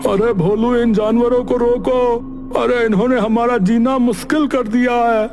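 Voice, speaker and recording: Man as a whiny villager, extremely high-pitched. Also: steady tone, normal speed, over music. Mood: complaining. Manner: pleading, exclamatory. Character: whiny, panicky villager pleading for help. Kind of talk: Hindi cartoon voice acting.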